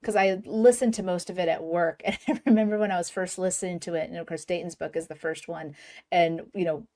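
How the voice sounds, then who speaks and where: A woman's voice: on the low side, fairly even intonation, a little fast, dark tone. A woman hosting a fan podcast, chatting eagerly with her guest and laughing often. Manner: bubbly, laughs often, enthusiastic. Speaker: podcast host. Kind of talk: casual fan interview.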